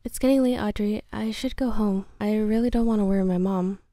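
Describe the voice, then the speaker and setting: A woman playing a timid but independent teenage girl in a roleplay drama, soft and hesitant. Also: mid-range voice, steady tone, brisk, dry recording, studio quality, close to an everyday voice. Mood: reluctant.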